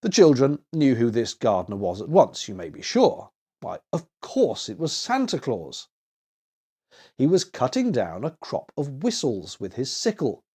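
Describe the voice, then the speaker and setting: A male storyteller reads a children's tale expressively. His medium-pitched voice moves with lively, varied intonation. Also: measured pace, slightly rough.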